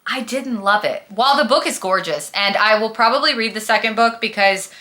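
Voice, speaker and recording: Bright, dramatic woman chatting about books. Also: a little high, fairly quick. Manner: gushing, dramatic, emphatic, slangy. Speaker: book vlogger. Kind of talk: casual chatty book review vlog.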